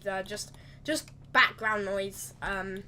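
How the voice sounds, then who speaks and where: A woman with a slightly croaky voice, rambling chattily through live gameplay commentary and correcting herself as she goes. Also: medium pitch, fairly even intonation, slow, bright, forceful tone, slight background noise. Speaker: game streamer.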